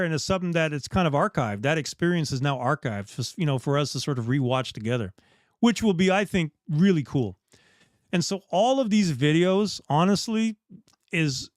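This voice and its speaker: Middle-aged man, candid, rambling host, a bit high in pitch, dry close recording. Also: no room sound. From Bahamas. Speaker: dive shop host. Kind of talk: casual live chat.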